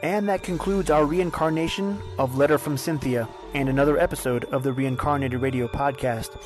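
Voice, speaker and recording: A man's voice: fairly high pitch, fairly even intonation, moderate pace, soft background music. A man hosting and narrating a scripted old-time radio style suspense drama, close to the mic. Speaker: podcast host and narrator.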